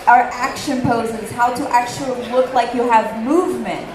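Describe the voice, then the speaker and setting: A woman's voice: on the high side, fairly even intonation, moderate pace, soft background music. A young woman whose first language is Portuguese, hosting a convention panel in an earnest, chatty way with frequent ums. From Brazil. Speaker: convention panel host, theater teacher. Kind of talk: casual panel talk. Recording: echoey room, background noise.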